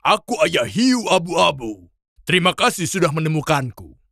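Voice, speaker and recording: Creaky, friendly papa shark. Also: male voice, on the high side, big pitch swings, normal speed, a bit bright, no room sound, clean studio sound, heavily acted. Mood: grateful. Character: friendly grown-up father shark. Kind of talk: children's cartoon acting.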